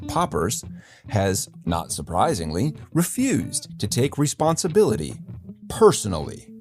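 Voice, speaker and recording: A man's voice: medium pitch, a little mellow. A man playing a wry, defiant news host in a dystopian future city, delivering a satirical scripted newscast in a theatrical, mock-grand way.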